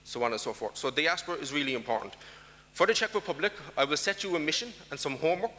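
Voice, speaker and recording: A man's voice: a little high, expressive, fast talker, bright, forceful tone, long reverb tail. A man with an Irish accent giving an emphatic conference talk, putting rhetorical questions to the audience. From Ireland. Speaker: conference speaker. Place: large conference hall.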